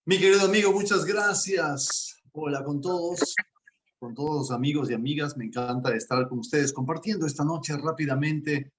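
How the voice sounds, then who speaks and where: A man's voice: on the high side, moderate pace. A man, a guest coach speaking in an earnest, enthusiastic way in a casual interview. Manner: warm and earnest, speaks with enthusiasm about faith.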